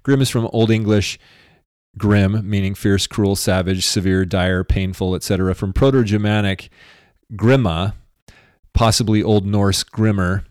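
Man, lowish voice, dry close sound, sardonic, musing podcast chat. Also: fairly even intonation, darkish tone, dry recording. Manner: sardonic, musing, halting with fillers. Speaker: podcast co-host. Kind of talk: casual podcast banter.

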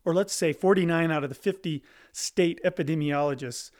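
A man with a fairly high voice co-hosts a casual podcast discussion. He talks emphatically and keeps repeating and restarting his phrases as he works through a point. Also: fairly quick, a little mellow. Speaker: podcast co-host.

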